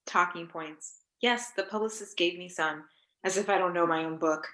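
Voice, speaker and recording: A woman's voice: fairly low pitch, normal speed, pushed and bright. A woman in a staged play reading, playing a prickly, blunt academic author who talks in terse, disdainful questions. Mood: scornful. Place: indoors on a Zoom call.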